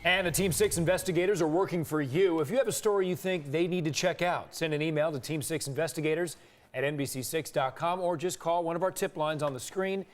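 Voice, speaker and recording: Male news presenter, slightly high voice, scripted announcement read to viewers. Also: fairly quick.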